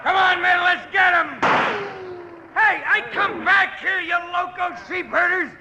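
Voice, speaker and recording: An actor playing a hot-headed, cowardly outlaw mob leader in an old radio western, blustering and excitable. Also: male voice, very high-pitched, measured pace, vocal fry, raised voice, crowd noise, full character voice. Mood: frantic shouting. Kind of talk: 1950s radio western acting. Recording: noisy crackly old radio recording.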